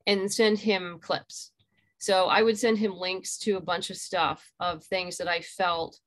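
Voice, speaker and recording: A middle-aged woman answers as a guest on a video call. Her pitch is average for a woman. She explains in a casual, halting way, as if thinking aloud. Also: a bit bright. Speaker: interview guest, audio drama creator. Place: small room at home.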